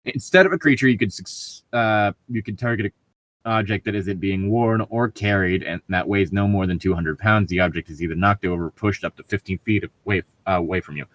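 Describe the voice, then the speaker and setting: A man's voice: low-pitched, brisk, fairly bright tone, no room sound. A man co-hosting a podcast, chatting casually and reading stories aloud. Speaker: podcast co-host.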